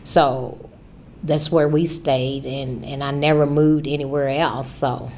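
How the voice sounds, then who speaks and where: A woman in her sixties from Kentucky, answering oral history questions in a hesitant way, with many pauses and restarts. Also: extremely low pitch, fairly even intonation, mellow, a little hiss or hum. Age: 60s. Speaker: interviewee, neighborhood association president.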